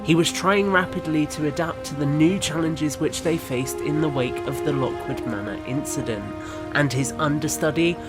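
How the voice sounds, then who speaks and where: A man narrating a scripted audio drama in a measured, descriptive storytelling manner. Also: fairly high pitch. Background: background music.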